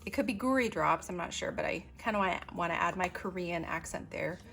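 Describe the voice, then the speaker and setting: A woman chatting casually as she shows her desk items, unhurried and full of ums and little asides while she works. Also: fairly low pitch, fairly bright tone. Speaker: stationery vlogger. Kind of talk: casual show-and-tell narration.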